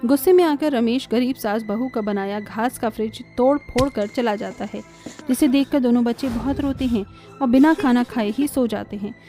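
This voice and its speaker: A woman reading scripted narration for an animated story as the storyteller. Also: on the high side, moderate pace, soft background music.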